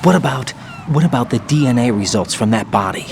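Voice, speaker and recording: Young male game soldier: incredulous, insistent, swinging pitch, faint background noise. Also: animated, a little fast, vocal fry. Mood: skeptical. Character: young male soldier, idealistic, questioning, agitated. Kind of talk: dramatic video game acting.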